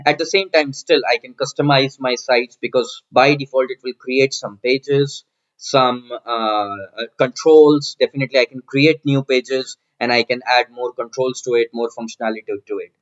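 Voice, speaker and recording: A man narrating a software walkthrough as a tutorial presenter, explaining step by step and often repeating phrases. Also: mid-range voice, steady tone, normal speed. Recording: dull, lo-fi microphone sound.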